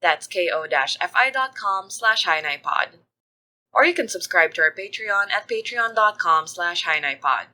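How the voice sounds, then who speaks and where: A woman's voice: mid-range voice, sharp tone. A woman podcast producer reading scripted credits and announcements to listeners.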